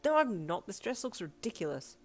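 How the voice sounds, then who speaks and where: A woman voicing a blunt, sharp-tongued young woman who is easily exasperated, in an audiobook-style story. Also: medium pitch, sing-song, faint music behind, voice pushed up, natural voice. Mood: embarrassed, flustered. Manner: blunt, exasperated, quick to shout.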